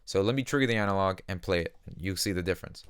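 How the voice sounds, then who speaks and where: A man giving a conversational software tutorial as a music production instructor, explaining things simply on a close microphone. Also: low voice, quick pace, a little hiss or hum.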